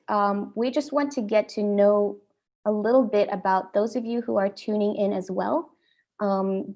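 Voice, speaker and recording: A young adult woman with an Indian accent, hosting an online panel talk in a friendly, measured way with frequent ums. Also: medium pitch, a little mellow. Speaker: host.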